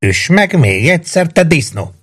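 A man voicing a small, defiant young soldier in an audiobook, shouting coarse taunts. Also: mid-range voice, big pitch swings, husky, voice pushed up. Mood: defiant taunting.

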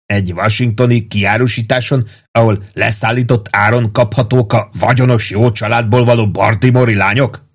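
A man's voice: lowish voice, steady tone, husky. A man voicing a vain, impatient and furious army sergeant in an audiobook, ranting in thundering tirades. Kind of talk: dramatized audiobook dialogue.

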